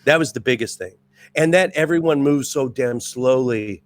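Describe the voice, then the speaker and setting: A middle-aged man with a raspy voice from years as a drill instructor, talking casually as a podcast guest in an intense, amped-up way. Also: medium pitch, moderate pace, no echo. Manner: intense, amped-up, restless talker. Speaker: podcast guest, retired Marine first sergeant. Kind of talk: casual chat.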